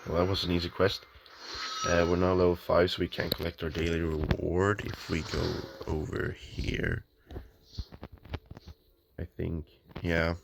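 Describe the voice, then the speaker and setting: A man with a very low, flat voice talks over his gameplay, hesitant and unsure, stopping for ums. His voice sounds a little off in the early morning. A clear hiss and clicking sit under the recording. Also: a little slow, long pauses. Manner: hesitant, many ums and restarts, thinking aloud. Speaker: gamer. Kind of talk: casual gameplay commentary. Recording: noisy home recording with clicks.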